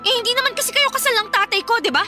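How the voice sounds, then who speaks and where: A scornful young Filipino daughter out for revenge in a radio drama. The voice is high and sharp, swinging widely as it taunts and gloats, with faint music behind. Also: female voice, lively intonation, racing, exaggerated voice. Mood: scornful taunting. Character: vengeful, scornful young adult daughter confronting her father's mistress.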